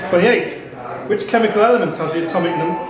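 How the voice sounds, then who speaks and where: A man's voice: sits high, fast talker. A man hosting a live pub quiz, reading questions and chatting off the cuff with the players. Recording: echoey noisy pub, crowd chatter.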